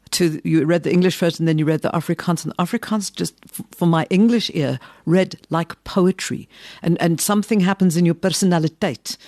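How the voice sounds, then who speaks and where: A woman's voice: deep voice, darkish tone, no room sound, studio quality. Inquisitive female podcast interviewer. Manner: inquisitive, drops Afrikaans words into English. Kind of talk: interview questions.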